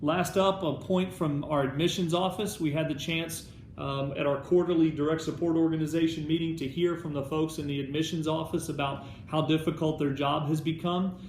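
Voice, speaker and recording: An American man with a slightly high voice presents an organizational update in an encouraging, instructive way. The delivery sounds partly scripted. The room echo is obvious, with faint noise behind him. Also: fairly even intonation, moderate pace. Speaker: alumni club staff presenter. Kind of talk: semi-scripted update to volunteers. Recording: roomy echo, background noise.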